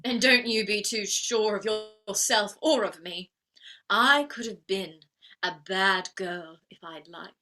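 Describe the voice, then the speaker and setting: A woman's voice: medium pitch, slow, sharp tone, poor audio. A woman playing a proud, wounded young flower girl turned lady in a theatrical play reading, defiant and plaintive. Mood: tearful, proud. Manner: defiant, plaintive, emotionally outspoken.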